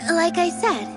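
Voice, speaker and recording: High-voiced, earnest young woman. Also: heavily acted. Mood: puzzled, wondering. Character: gentle, earnest young dancer girl. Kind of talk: anime video game dialogue. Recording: music behind the voice.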